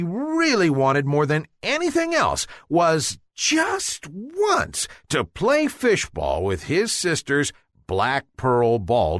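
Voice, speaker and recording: Male storyteller for kids' bedtime tales: unhurried, hugely animated pitch, theatrical emphasis. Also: no echo, clean studio sound. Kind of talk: children's bedtime story narration.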